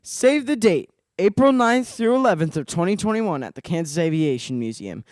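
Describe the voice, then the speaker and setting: A man's voice: sits high, sing-song, normal speed, a little mellow, no room sound. A young male student hosting a radio show with scripted announcements. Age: young adolescent, middle school student.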